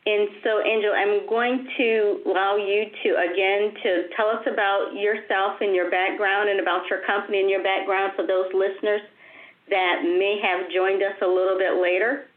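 A woman's voice: mid-range voice, fairly even intonation, moderate pace. A woman hosting an interview, chatting in a conversational way with frequent restarts and repeated words. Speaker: host. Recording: tinny phone line.

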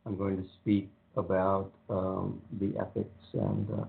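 An older man hosting a radio show, talking in a relaxed, rambling monotone with many pauses and ums. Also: low voice, gravelly. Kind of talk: casual radio talk. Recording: phone-like narrow sound.

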